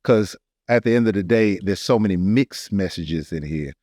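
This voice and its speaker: A man's voice: deep voice, normal speed, darkish tone, no room sound, studio quality. An American man co-hosting a podcast with casual, conversational banter. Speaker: podcast co-host.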